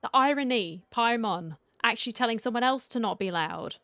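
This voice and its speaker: A woman's voice: fairly high pitch, lively intonation, brisk, a bit bright. A woman giving casual playthrough commentary as she reacts to a story game, with wry little asides. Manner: wry asides, reading choices aloud. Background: faint game music.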